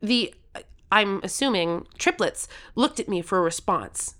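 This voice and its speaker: A woman's voice: medium pitch, lively intonation, unhurried, fairly bright tone. A woman playing an eager, competitive thirteen-year-old girl who tells her own story in an audio drama. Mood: eager storytelling. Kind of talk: first-person audio drama narration.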